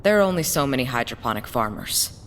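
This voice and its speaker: An actress playing an earnest, defiant smuggler in an audio drama, speaking in a blunt and serious way. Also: female voice, animated, fast. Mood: urgent, serious. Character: earnest, defiant working-class woman smuggler on the run. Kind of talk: scripted audio drama acting. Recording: long reverb, faint rumbling background noise.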